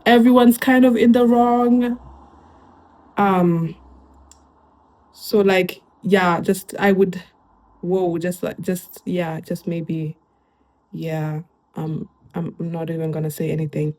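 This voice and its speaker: A woman's voice: fairly low pitch, little pitch movement, slow, long pauses, a little mellow. Chatty young Kenyan woman. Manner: chatty, switches into Swahili phrases, lots of fillers. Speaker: YouTuber reacting to online posts. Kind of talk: casual reaction commentary.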